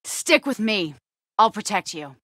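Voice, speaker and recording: An actress playing a fierce, protective young superheroine in a video game, tough and determined. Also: female voice, mid-range voice, big pitch swings, a little slow, pushed and bright, no room sound, clean studio sound, heavily acted.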